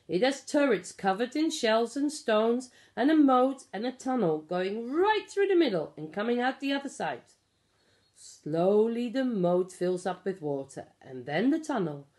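A middle-aged woman reading a picture book aloud to young children, explaining and asking simple questions in an expressive way. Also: on the high side. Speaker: pre-school storyteller. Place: small living room at home. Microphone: phone or tablet at about arm's length.

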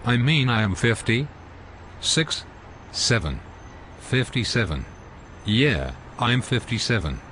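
A man voicing a rude, scruffy homeless man in an amateur animated comedy, with slow, sulky, insulting speech. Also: mid-range voice, a little hiss or hum. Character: rude scruffy homeless man who lies about everything.

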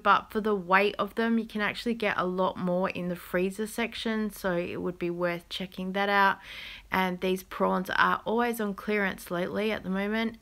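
A woman's voice: medium pitch, sharp tone. A woman chatting casually as she films the shelves on her phone, pointing out bargains with an easy laugh. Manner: chatty, thrifty, laughs now and then. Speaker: vlogger. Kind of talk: casual shopping commentary. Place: supermarket aisles, later at home. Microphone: phone camera held in hand.

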